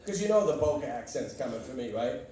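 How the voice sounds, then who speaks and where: A man's voice: medium pitch, big pitch swings, quick pace, mellow. A man from Boston with a Boston accent doing a stand-up comedy set, telling brash, punchy stories and bantering with the audience. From Boston, USA. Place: comedy club stage. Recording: echoey, distant club recording. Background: crowd laughter and noise.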